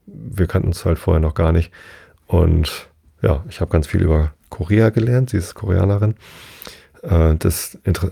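A man's voice: low-pitched, vocal fry, mellow. A man in his forties hosting a podcast, talking casually in a rambling way with many fillers and self-corrections. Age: 40s. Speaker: podcast host. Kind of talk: casual solo podcast monologue.

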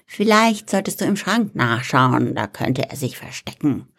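A sassy lady frog with a dry, teasing drawl, put on by a man reading a storybook. She takes her time, pitched a little above his plain voice and slightly set back from the mic. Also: very animated, measured pace, vocal fry, a bit bright, exaggerated voice. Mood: cheeky. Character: cheeky sarcastic female frog, calm and dry.

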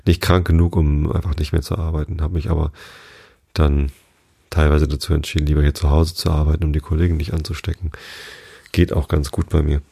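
A very deep-voiced man, gravelly and hoarse, chatting slowly and loosely on his own sleep podcast. His tone is dark and mellow, with plenty of fillers as he rambles along. The room sounds dry and close. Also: no room sound. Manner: rambling, relaxed, many fillers and restarts. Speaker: podcast host. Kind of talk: casual sleep podcast chat.

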